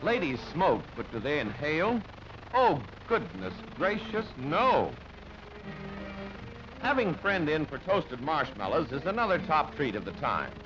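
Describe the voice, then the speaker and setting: A man's voice: on the high side, big pitch swings, unhurried, highs cut off. A man narrating an old newsreel from a script in a jaunty, theatrical announcer's manner. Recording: band-limited old newsreel, music underneath. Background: background music.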